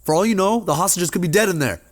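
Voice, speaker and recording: An actor playing a forceful, incredulous FBI agent taking command at a tense scene. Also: male voice, high-pitched, fast, close to an everyday voice. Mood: angry. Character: angry, determined male FBI agent. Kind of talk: web series drama acting.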